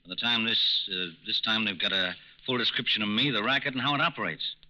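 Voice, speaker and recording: A man's voice: on the low side, steady tone, fast talker, pushed and bright. An actor playing a jittery, streetwise male racketeer with slangy, curt delivery in a 1940s radio crime drama. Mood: tense, suspicious. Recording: muffled old radio broadcast recording.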